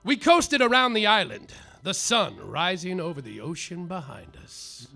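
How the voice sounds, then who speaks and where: An actor playing a hapless hard-boiled private detective who narrates in deadpan noir style with melodramatic outbursts, in a live comedy radio play. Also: male voice, sits high, a little slow, gravelly, full character voice.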